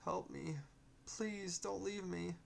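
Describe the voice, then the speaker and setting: Man performing storybook ghost: trapped, desperate and bitter, pitched high, faint noise behind. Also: steady tone, takes it slowly, vocal fry, heavily acted. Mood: desperate pleading. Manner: desperate, begging, demanding. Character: angry trapped ghost, desperate, pleading and cursing.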